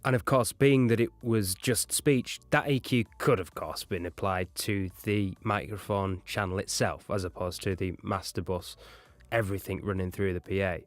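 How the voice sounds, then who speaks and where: A man's voice: low-pitched, fairly even intonation, moderate pace, no room sound. A man narrating an explanatory lesson as an audio engineering instructor.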